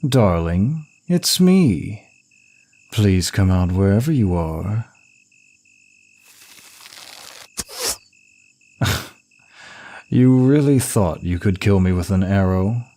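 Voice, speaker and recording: A man's voice: low-pitched, dark tone. A man playing a seductive, teasing, ancient demon of lust in an intimate audio roleplay, lingering sultrily over his words. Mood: mocking, amused. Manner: slow, sultry, lingering delivery. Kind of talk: intimate ASMR roleplay acting addressed to listener.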